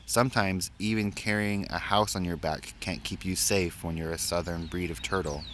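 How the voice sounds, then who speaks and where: A gentle, caring mother from a children's storybook, read by a man. The voice is low and softly advising. There is some noise in the background. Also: steady tone, moderate pace. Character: gentle, caring mother consoling her young daughter. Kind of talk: storybook character voice.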